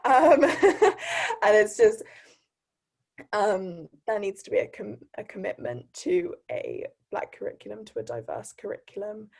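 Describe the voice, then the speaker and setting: A young woman being interviewed, casual and hesitant, laughing often and pausing on "um". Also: medium pitch, very animated, creaky, darkish tone, breathy edge. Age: young adult. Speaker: interview guest. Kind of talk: casual interview over a video call.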